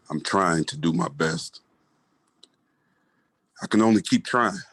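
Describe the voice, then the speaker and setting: Man acting a low, slow-talking weary mayor. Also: bright, forceful tone, no room sound, exaggerated voice. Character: weary, cautious male city mayor under pressure. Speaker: actor in a staged reading. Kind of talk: staged play reading.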